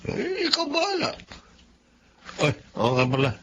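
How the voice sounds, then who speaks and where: Pushy, money-minded man, lively pitch. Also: unhurried, heavily acted. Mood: grumbling, resigned. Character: pushy, money-minded male friend eager for profit. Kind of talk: Filipino radio drama acting. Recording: dull lo-fi audio with noise.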